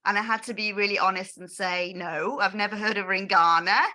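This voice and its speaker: A middle-aged woman from the Manchester area hosts an online session in a chatty, rambling way. Her voice is bright and forceful, set at an ordinary pitch, and it comes through a video-call mic. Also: steady tone, fairly quick. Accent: northern English, Manchester area. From Austria, grew up in England. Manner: chatty, friendly, rambling asides. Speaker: host of online product session.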